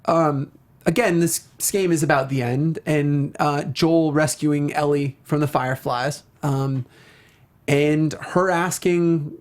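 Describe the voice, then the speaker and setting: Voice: medium pitch, steady tone, takes it slowly. A talk show host in a casual review discussion, speaking with gushing enthusiasm and often rambling off mid-thought.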